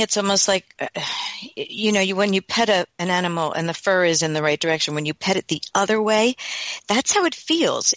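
A woman's voice: on the low side, fairly bright tone, no echo. A woman hosting a radio talk show, speaking in an enthusiastic, earnest way.

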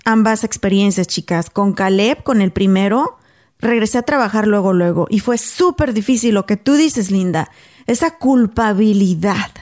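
A woman's voice: a little high, darkish tone. A woman from Mexico hosting a casual podcast chat, earnest and conversational. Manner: earnest, conversational, laughs easily. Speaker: podcast host.

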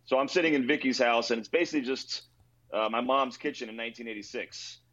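A man from Maryland, a restaurant owner, telling stories in a relaxed, rambling way with lots of fillers during an interview. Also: medium pitch, fast, dull audio. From Rockville, Maryland, near Washington D.C. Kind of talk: casual interview over video call. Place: his own themed bar.

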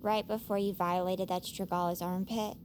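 A woman's voice: mid-range voice, flat delivery, moderate pace, a little mellow, a little hiss or hum, lightly acted. A woman playing a young woman who is dry, teasing and wryly friendly in an audio drama. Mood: probing.

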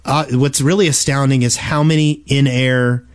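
A man's voice: medium pitch, steady tone, normal speed, smooth. A man co-hosting a gaming podcast, casually introducing items in conversation with others. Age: adult, a father. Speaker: podcast co-host.

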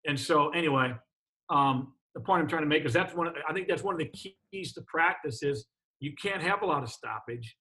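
A man's voice: on the high side, fairly quick, pushed and bright, low-quality recording. A middle-aged man, a basketball coach, talking practice in casual chat, emphatic and often restarting his sentences. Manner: emphatic, repeats words while restarting sentences.

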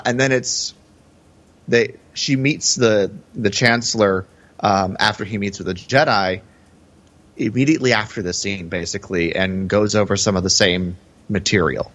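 Low-voiced man, dull lo-fi podcast sound. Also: steady tone, unhurried, a bit bright. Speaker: podcast co-host. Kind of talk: casual film analysis.

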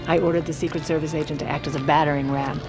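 A president's determined wife in an audio drama, her voice on the low side. She is controlled and scheming, confiding in the listener and commanding those around her, with faint music behind. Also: steady tone, racing. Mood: urgent, resolute.

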